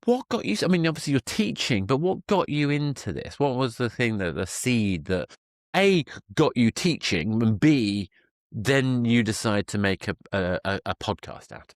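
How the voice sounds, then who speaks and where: A man's voice: medium pitch, very animated, normal speed, no room sound, studio quality. A man hosting a casual interview, talking with emphatic enthusiasm and rephrasing as he goes. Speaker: podcast host.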